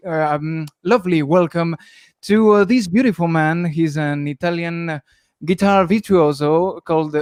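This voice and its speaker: A man's voice: sits high, a little mellow, clean tone, no echo, clean studio sound. A man from France chatting casually as co-host of a music livestream, joking and laughing easily.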